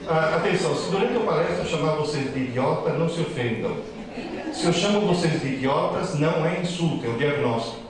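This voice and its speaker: Animated lecturer in an echoey hall. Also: male voice, a little high, far from the mic. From Italy. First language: Italian. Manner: joking, teasing, lively storytelling. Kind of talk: motivational lecture to students and parents. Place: large echoey school hall with an audience.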